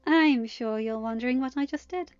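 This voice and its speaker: A woman's voice: on the high side, moderate pace, clean tone, no echo, lo-fi sound, voice pushed up, lightly acted. A woman voicing an imperious, protective goddess mother in a storybook, speaking with emphatic, threatening formality. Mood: smug threat.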